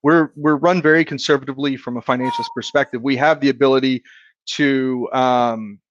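A man's voice: medium pitch, steady tone, fairly quick, lo-fi sound, a little hiss or hum. A man in his thirties, a guest in a casual business interview, talking earnestly and reflectively about his career, with frequent restarts. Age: 30s. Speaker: insurance agency owner, guest.

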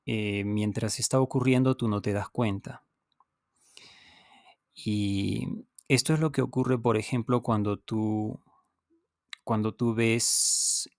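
A native Spanish-speaking man talks at a very slow pace in a fairly low voice, recorded dry and close. He sounds like a teacher hosting his own podcast, explaining each point deliberately, pausing, repeating and addressing the listener directly. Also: a little mellow, no room sound. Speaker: podcast host and language teacher. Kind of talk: unscripted instructional podcast monologue.

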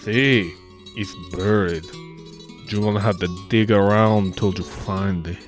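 A man's voice: fairly low pitch, a little slow, music in the background, natural voice. A man playing a creepy, lecherous monastery priest with an oily, suggestive delivery in a radio play. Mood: resigned, sly. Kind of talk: 1940s-style radio play acting.

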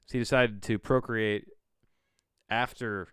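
A man's voice: fairly low pitch, steady tone, measured pace, fairly bright tone, clean tone, dry recording, clean studio sound. A man hosting a podcast, reading aloud with casual, rambling commentary and incredulous, sardonic asides. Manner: casual swearing. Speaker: podcast host. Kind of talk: casual solo commentary while reading a plot synopsis aloud.

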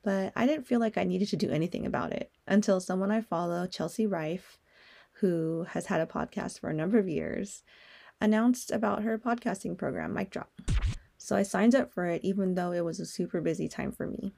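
A woman's voice: on the low side, a little fast, gravelly, warm tone. A young woman hosting a solo podcast, talking in a candid, personal way and swearing now and then. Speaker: podcast host.

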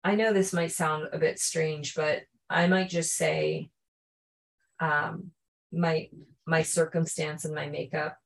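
A woman answering as a podcast guest, thoughtful and earnest, often pausing to search for words. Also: sits low, level tone, vocal fry. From Canada. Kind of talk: reflective interview answers.

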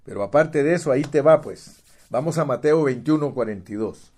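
A man preaching with a dark, mellow voice, stressing his points by repeating words. He keeps turning to the listener as brother, rising in force at key lines. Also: a little high, fairly quick. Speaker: pastor. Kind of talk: sermon. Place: United States.

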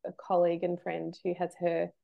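A woman guest on a podcast, a trained marketer talking in an animated, emphatic way and laughing between points. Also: on the low side, fairly quick, gravelly, dull audio. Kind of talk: casual interview chat.

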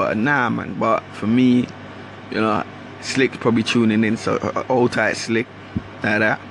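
A man's voice: medium pitch, creaky, noisy background. A man from Guyana with a London accent that keeps Caribbean traces, talking casually and rambling as he hosts his own podcast. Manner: rambling, slangy, restarts often. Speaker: podcast host. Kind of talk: casual solo monologue.

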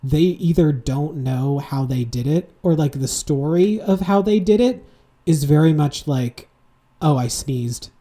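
A young man in his twenties co-hosting a chatty podcast from a room at home. His voice is dark and mellow, and he rambles through animated stories full of "like" and "you know". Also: fairly high pitch, a little slow. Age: 20s. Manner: rambling, animated storytelling with many fillers. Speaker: podcast co-host. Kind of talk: casual podcast chat. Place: home room, seated with a heating pad.

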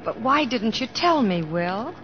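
An actress playing a gentle, devoted young bride, tender and earnest, in an old-time radio drama. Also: female voice, fairly high pitch, sing-song, measured pace. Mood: puzzled, questioning. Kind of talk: 1940s-50s radio drama acting and narration. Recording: dull old-time radio recording, music behind.

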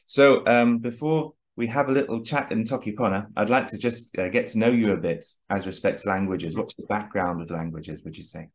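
A man hosting a chat, explaining ideas at length in a measured lecturing way before interviewing a guest. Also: fairly low pitch. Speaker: host.

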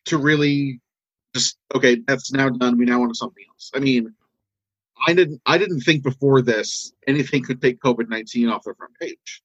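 A man with an American accent giving earnest opinions in casual conversation, in a halting, rambling way. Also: medium pitch, a bit bright, breathy edge, no room sound, lo-fi sound.

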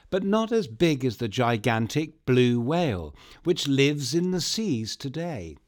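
A man tells a children's bedtime story with a very lively, swooping delivery. He takes his time and plays up the wonder in a theatrical way, talking straight to his young listeners. Music sits behind his voice. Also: medium pitch. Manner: playful, theatrical, addressing young listeners directly. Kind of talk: scripted children's story narration.

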